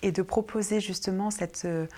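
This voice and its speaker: A woman's voice: mid-range voice, fairly even intonation, normal speed, dark tone. A woman interviewing an artist, speaking fluently and addressing her informally. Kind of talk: interview questions.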